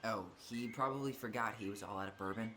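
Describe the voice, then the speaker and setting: A man's voice: fairly low pitch, quick pace, natural voice. An actor playing a blunt, sardonic young man in a naturalistic video game. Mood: wry. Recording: clear background noise.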